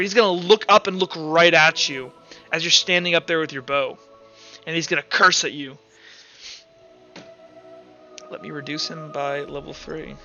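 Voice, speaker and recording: A man serves as game master, improvising the action of a tabletop game and thinking aloud as he goes. His voice is fairly high and forward, bright in tone. Faint music plays under a slightly dull recording.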